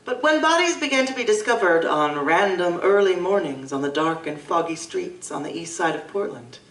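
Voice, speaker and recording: A woman giving measured, scripted first-person narration for a live audio drama. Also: fairly low pitch, a bit bright. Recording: roomy live stage from a distance.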